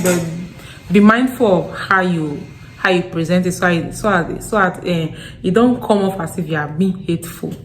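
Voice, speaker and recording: A young woman from Nigeria's Delta with a strong Deltan accent, giving frank, animated advice to camera in a casual vlog. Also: fairly low pitch, slight background noise, rough recording. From Nigeria, Delta State. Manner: frank, animated, laughing asides. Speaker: vlogger. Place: home in Accra.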